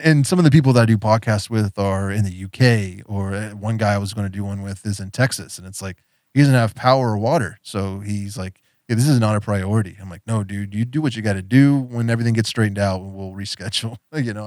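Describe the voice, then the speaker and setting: A man's voice: lowish voice, a little fast, darkish tone, dry recording, clean studio sound. A man hosting a podcast in casual chat, rambling with lots of fillers and easy laughs. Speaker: podcast host.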